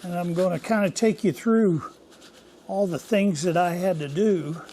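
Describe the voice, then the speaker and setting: An older man hosting a casual workshop vlog from his home machine shop, talking in a hoarse, rambling, folksy way. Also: high-pitched, measured pace, mellow, slight background noise. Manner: rambling, folksy and self-deprecating. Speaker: workshop channel host.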